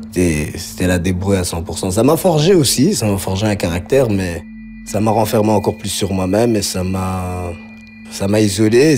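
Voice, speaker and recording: A man from Belgium gives a spontaneous personal testimony, reflective and halting, repeating words as he searches for them. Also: sits low. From Belgium, grew up around Liège. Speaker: interview subject telling his life story. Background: music under the voice.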